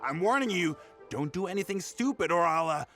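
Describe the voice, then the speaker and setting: A man's voice: high-pitched, expressive, brisk, bright, forceful tone, soft background music. A man voicing a sleazy, bullying yet cowardly tabloid blackmailer in a video game. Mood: startled, threatening. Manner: menacing, then flustered stammering bluster.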